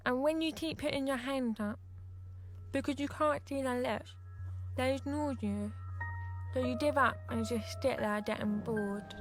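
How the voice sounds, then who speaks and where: A woman with a British accent playing a frustrated, defiant deaf teenage girl in a radio drama, sometimes confiding as narrator. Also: high-pitched.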